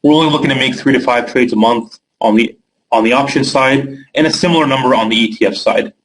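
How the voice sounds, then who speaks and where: A man's voice: mid-range voice, fast talker, a bit bright, a little hiss or hum, rough recording. A young man from Canada with a Canadian accent hosting a live trading webinar, reading out viewer questions by name and answering them casually with filler words.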